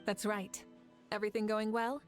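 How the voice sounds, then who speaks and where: A woman's voice: mid-range voice, little pitch movement, normal speed, faint music behind, close to an everyday voice. Woman as a composed, assured female leader. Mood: calm. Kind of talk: video game voice acting.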